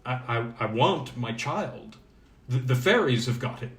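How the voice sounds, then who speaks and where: A man's voice: medium pitch, animated, a little slow, distant mic. A man playing an anxious, humble storybook father who pleads and protests with hesitant, stammering speech. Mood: anxious, pleading. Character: anxious father seeking his stolen child, humble, pleading. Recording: roomy, echoing sound.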